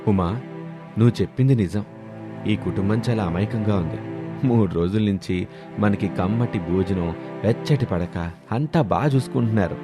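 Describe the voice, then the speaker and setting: A scheming male guest acted by a man from a cartoon moral tale. He speaks low and politely, flattering like false kin, with music behind. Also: moderate pace, lightly acted. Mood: sly.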